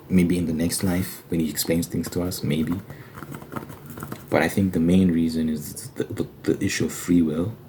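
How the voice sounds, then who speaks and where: A man with a very low, dark, relaxed voice speaks in an earnest ASMR manner, like an informal religious talk. He is conversational and leans on repeated phrases for emphasis. Light noise and clicks sit behind him. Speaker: ASMR Bible study host.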